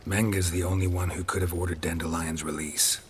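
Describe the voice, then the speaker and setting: A man voicing a gruff, laconic monster hunter in a video game, gravelly and deadpan, with terse sardonic lines. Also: low voice, fairly quick, a little hiss or hum, lightly acted. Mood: matter-of-fact. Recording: music behind the dialogue.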